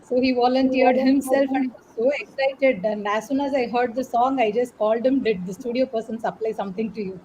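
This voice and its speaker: A woman's voice: on the high side, steady tone, fairly quick, few pauses, mellow, muffled recording, slight background noise. A woman with an Indian accent recounting her work as a guest in a panel discussion. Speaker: audio drama director, guest.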